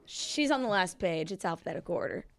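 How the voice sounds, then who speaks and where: A woman's voice: lowish voice, expressive, quick pace, vocal fry. A woman chatting casually and giving opinions about a reality TV show.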